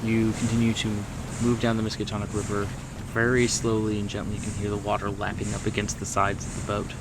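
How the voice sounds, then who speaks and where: A man narrating a tabletop horror game as game master, in atmospheric, deliberate storytelling. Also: fairly low pitch, monotone, background noise. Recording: music behind the voice.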